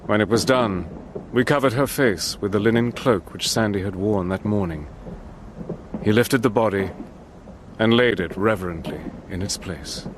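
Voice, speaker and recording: An actor playing a resolute British officer who narrates his own war story in a measured, grave way, in a radio play with artillery effects. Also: lowish voice, background noise, natural voice. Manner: measured and grave, sharpening under fire. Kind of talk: first-person radio drama narration.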